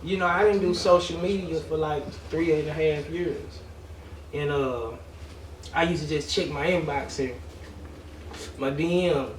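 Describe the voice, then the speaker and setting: A man's voice: a little high, fairly even intonation, measured pace, pauses often. A man, a guest rapper talking candidly in street slang and repeating phrases. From Dallas, Texas. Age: 40s. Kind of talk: casual interview chat. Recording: echoey room, distant mic, background noise.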